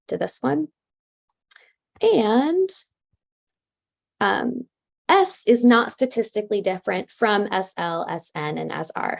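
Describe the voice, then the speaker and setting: A woman's voice: mid-range voice, gravelly, darkish tone. A woman presenting research at an academic conference in a casual way, with many fillers and self-corrections.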